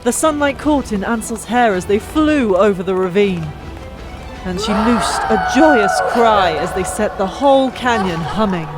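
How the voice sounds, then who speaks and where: Narrator reading an adventure audiobook, mid pitched, really dramatic with pauses, music playing behind. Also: low-quality recording. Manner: expressive, dramatic delivery with drawn-out emphatic pauses. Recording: old mic, background music.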